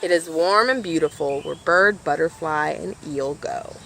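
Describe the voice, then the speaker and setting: A woman with a slightly low voice reads a picture book aloud for children. She addresses her listeners directly in an explanatory way. There is some ambient noise behind her. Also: unhurried, gravelly, a bit bright. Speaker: storybook reader for a nature organization.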